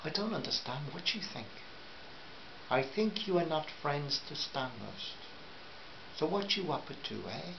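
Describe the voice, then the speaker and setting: A man voicing a shrewd, friendly Greek cafe owner in a put-on Greek accent, speaking slowly and gently. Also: fairly high pitch, very animated, vocal fry, lo-fi sound, noisy background, heavily acted. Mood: probing. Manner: slow, gentle, searching.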